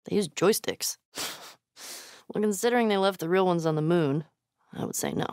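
A woman's voice: on the low side, expressive, normal speed, darkish tone, smooth, no room sound, clean studio sound, natural voice. An actress playing a tough, wry, foul-mouthed young American woman in a video game, with a casual, muttering, sardonic delivery. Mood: wry, playful. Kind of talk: naturalistic video game voice acting.

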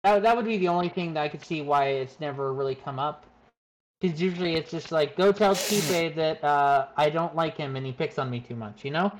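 A man with a fairly high voice for a man talks in a relaxed group chat. He hesitates often and fills his pauses with "like" and "uh.". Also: noisy background. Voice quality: higher-pitched for a man. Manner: hesitant, filler-heavy. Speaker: streamer. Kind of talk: casual chat.

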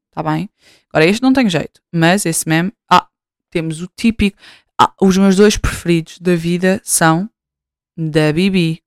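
A woman's voice: lowish voice, clear, resonant tone, no room sound, clean studio sound. A woman in her twenties with a European Portuguese accent, hosting a podcast in casual chat, rambling, swearing freely and mocking herself. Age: 20s. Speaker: podcast host.

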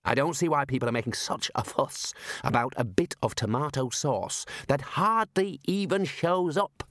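A man's voice: medium pitch, normal speed, fairly bright tone, no room sound, voice pushed up, lightly acted. A man playing a fussy elderly wizard dean, flustered and self-justifying, in a storybook reading. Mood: sulky. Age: older.

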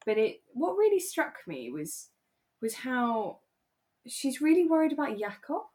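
A young British woman co-hosting a podcast in relaxed casual discussion, thinking aloud and qualifying what she says as she goes. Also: sits high, a little slow. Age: young adult. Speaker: podcast co-host.

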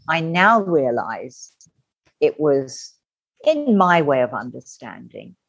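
A middle-aged woman telling her personal story as a podcast guest, candid and animated, acting out the voices she quotes. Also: sits low, dry recording. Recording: dull lo-fi dry sound.

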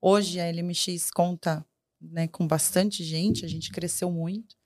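A woman with a lowish voice tells a business story on a podcast. She speaks with lively energy and corrects herself along the way. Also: a little slow. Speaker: sales partner. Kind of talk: casual podcast chat.

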